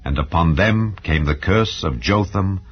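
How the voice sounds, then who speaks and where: A man reading scripture as a solemn, measured narrator in a dramatized style. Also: low voice, breathy edge, faint music behind. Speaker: narrator of a dramatized audio Bible. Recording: dull, muffled lo-fi sound.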